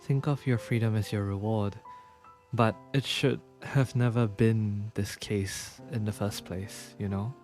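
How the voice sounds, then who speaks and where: A man giving casual, sympathetic playthrough commentary, often halting and repeating himself. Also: lowish voice, fairly even intonation. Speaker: streamer. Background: game music.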